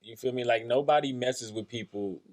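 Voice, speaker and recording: A man's voice: mid-range voice, normal speed, a little mellow. A man from California talking casually on a podcast in a laid-back way, often tagging "you feel me". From San Bernardino, California. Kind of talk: casual chat.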